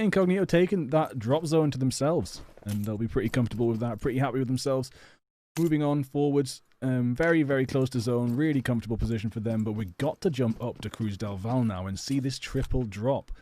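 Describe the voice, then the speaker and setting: British man, soft mellow tone, casual game commentary, hesitant and rambling, light noise and clicking behind. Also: medium pitch, a little fast. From England. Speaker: esports caster and stream host. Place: home or personal studio.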